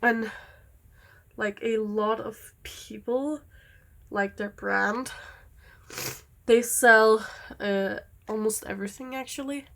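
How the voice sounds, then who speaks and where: Slow-talking young woman, rambling. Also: a little high, fairly even intonation, gravelly, a bit bright. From Denmark. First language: Danish. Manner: rambling, easily distracted, with many fillers. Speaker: vlogger. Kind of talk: casual chat while doing nails.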